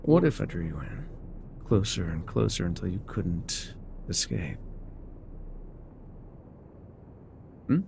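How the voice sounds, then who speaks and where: A man's voice: sits low, very animated, normal speed, creaky, noisy background, exaggerated voice. A man playing an enigmatic, wry guide through dangerous wilds in an intimate ASMR roleplay, musing, teasing and often trailing off. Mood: teasing, probing.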